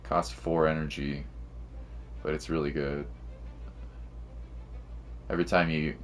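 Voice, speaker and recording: A man's voice: rumbling low, little pitch movement, slow, a little hiss or hum. A man giving casual gameplay commentary to livestream viewers, slangy and musing aloud to himself, with game music playing behind him. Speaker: game streamer.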